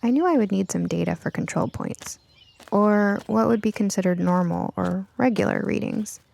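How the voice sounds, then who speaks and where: A woman reading scripted first-person story narration for an audiobook in an expressive storytelling manner. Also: medium pitch, moderate pace, vocal fry, slight background noise.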